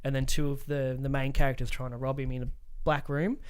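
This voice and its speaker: A man's voice: medium pitch, little pitch movement, fairly quick, darkish tone, smooth. A man, a podcast host, chatting casually about films in a blunt way with plenty of swearing.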